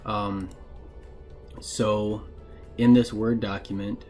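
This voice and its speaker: A man narrating a casual software tutorial in a plain, informal, explanatory way. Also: lowish voice, flat delivery, very slow, soft background music. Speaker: instructor giving a software tutorial.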